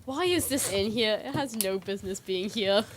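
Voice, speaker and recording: A woman's voice: medium pitch, lively intonation, normal speed. A woman in casual chat as a show panelist, telling anecdotes in a hesitant way. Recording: clear background noise.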